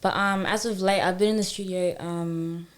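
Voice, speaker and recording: A woman's voice: fairly low pitch, fairly even intonation, moderate pace. Young woman, chatting casually. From Kenya, moved to Australia young. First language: Swahili. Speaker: music artist guest. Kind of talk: casual chat.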